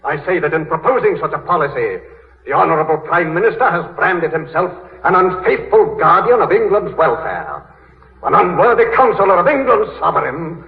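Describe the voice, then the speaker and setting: A man's voice: a little high, sing-song, normal speed. A man playing a grave Victorian statesman in a 1930s radio drama, making a formal, oratorical denunciation. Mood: grave, accusing. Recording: tinny 1930s radio, long hall reverb.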